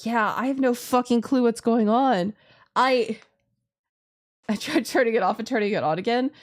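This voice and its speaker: A woman streamer chatting casually through a game, expressive and giggly, often swearing and interrupting herself. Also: on the high side, normal speed. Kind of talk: live visual novel playthrough commentary, casual chat.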